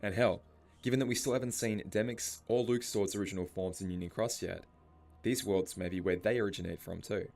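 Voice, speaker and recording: A man narrating a scripted story explainer in a measured, musing way, posing rhetorical questions. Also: fairly low pitch, steady tone, vocal fry, a little mellow. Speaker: video essay narrator. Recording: music under the voice. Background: background music.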